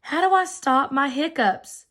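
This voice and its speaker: A woman voicing a young male kitten with the hiccups, asking in an eager, high voice in a storybook. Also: a little slow, fairly bright tone.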